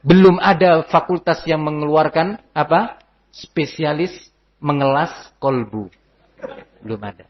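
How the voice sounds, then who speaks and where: A man's voice: fairly high pitch, measured pace, long pauses. An Indonesian man giving an informal religious lecture as an Islamic teacher, conversational and didactic, often posing rhetorical questions. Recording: dull, lo-fi lecture recording.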